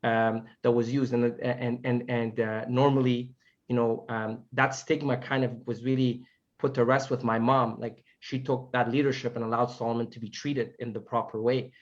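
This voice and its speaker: A man whose native language is Farsi, answering earnestly on an online panel, haltingly and often repeating his phrases. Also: medium pitch, level tone. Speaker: panelist, family advocate. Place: online video call. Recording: dull, lo-fi call audio.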